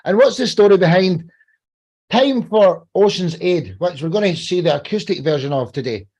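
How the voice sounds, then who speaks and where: A man's voice: high voice, lively intonation, normal speed, a little mellow, slightly rough. A man hosting a live podcast in a casual, informal and enthusiastic way. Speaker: podcast host.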